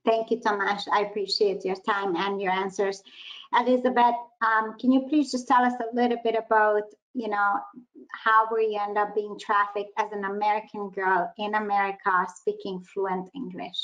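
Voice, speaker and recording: A woman's voice: medium pitch, little pitch movement, normal speed, fairly bright tone. Polite woman hosting a webinar. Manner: polite, appreciative, introduces guests. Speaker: webinar host. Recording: dull lo-fi video call audio.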